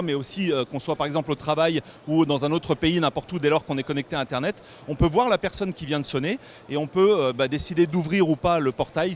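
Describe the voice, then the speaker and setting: A French man with a slightly high voice presents a tech product in a semi-improvised, explanatory style. He hesitates and rephrases as he goes. The recording carries light background noise from a trade show floor. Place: busy trade show hall.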